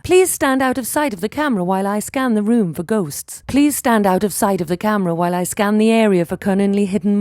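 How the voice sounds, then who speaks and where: A woman reading scripted tutorial narration for a game, giving instructions in a clearly enunciated way. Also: mid-range voice, a little mellow, dry recording, clean studio sound.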